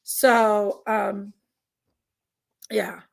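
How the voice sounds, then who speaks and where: A woman with a Southern American accent, raspy and congested from allergies, chatting casually on a livestream and reading out viewers' names. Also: mid-range voice, steady tone, extremely slow. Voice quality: raspy, gravelly. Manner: drawling, reads out chat names. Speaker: livestream host.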